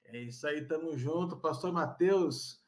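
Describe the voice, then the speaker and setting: A man with a fairly high voice hosts a relaxed conversation, friendly and upbeat. He welcomes the guest warmly and keeps asking questions, in a casual podcast chat. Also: a little slow. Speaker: podcast host.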